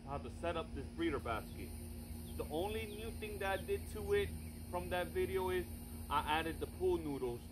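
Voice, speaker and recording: A relaxed man in his thirties talks his viewers through a hands-on backyard project in an easygoing, conversational way. He is picked up by a camera standing a few steps off outdoors, and steady outdoor noise with passing traffic runs under his voice. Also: high voice, moderate pace. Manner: relaxed, chatty, addresses viewers directly. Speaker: fish breeder vlogger. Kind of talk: casual how-to vlog. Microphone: far camera on a tripod.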